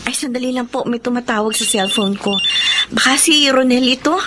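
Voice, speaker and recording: A woman's voice: fairly high pitch, fairly quick, lightly acted. A woman playing an emotional, insistent young wife in a Filipino radio drama. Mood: hopeful, respectful. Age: young adult. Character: distraught young wife Almina, refusing to believe she is widowed. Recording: noisy radio drama recording with clicks.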